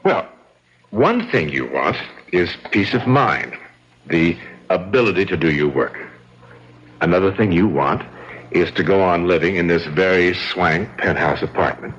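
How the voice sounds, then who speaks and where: An actor playing a charming, cold, calculating villain in a vintage mystery radio drama, smug and quietly menacing. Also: male voice, sits low, expressive, slightly rough, heavily acted. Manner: smug, suave, measured menace. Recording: lo-fi, noisy old radio recording.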